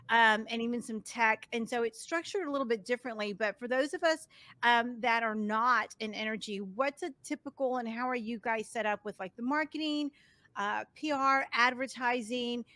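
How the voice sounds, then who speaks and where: A woman's voice: fairly high pitch, normal speed, bright, forceful tone, no room sound. A woman hosting a podcast interview, asking questions in an upbeat, enthusiastic way. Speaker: podcast host.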